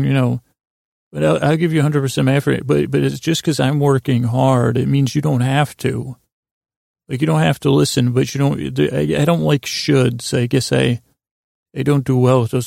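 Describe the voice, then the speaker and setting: A man hosting a bedtime podcast in a rambling monologue, halting and trailing off mid-thought, with a gravelly, creaky voice. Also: medium pitch, a little mellow, dry recording, studio quality. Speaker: podcast host.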